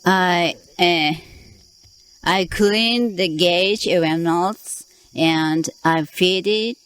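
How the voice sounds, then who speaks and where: A woman's voice: lowish voice, extremely slow, a bit bright, clean tone. A woman who works as an animal keeper speaks in simple, hesitant English during an interview. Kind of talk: interview conversation. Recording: faint background noise.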